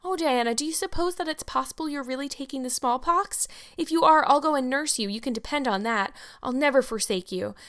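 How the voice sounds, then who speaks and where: A woman's voice: high voice, brisk, few pauses, fairly bright tone, voice pushed up. A woman voicing an earnest, dramatic young girl who wails in flowery, tearful speech. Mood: anxious, pleading. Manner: melodramatic, flowery, wailing.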